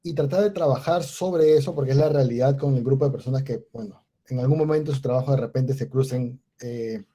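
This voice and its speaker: Man, professor, very dark mellow tone, rambling explanatory lecture. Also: mid-range voice, breathy edge. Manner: unhurried, explanatory, with many restarts and fillers. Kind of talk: online class lecture.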